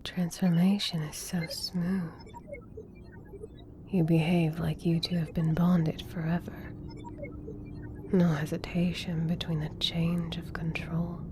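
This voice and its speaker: A woman playing an intelligent, composed scientist in an ASMR roleplay, speaking in a measured, formal, clinical way. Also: fairly low pitch, little pitch movement, noisy background. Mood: curious. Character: intelligent, composed female government scientist doctor.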